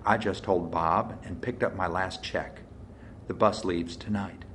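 A man's voice: deep voice, steady tone, measured pace, fairly bright tone, a little hiss or hum. A man voicing a flippant young actress with a breezy, teasing manner, as a character in an audiobook.

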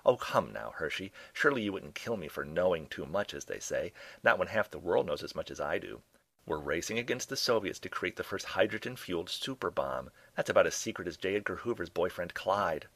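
A man voicing an elderly, pompous, quick-witted gentleman sleuth in a declamatory, theatrical way for a comic story. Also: deep voice, big pitch swings, gravelly, no echo, full character voice. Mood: smug, reasoning.

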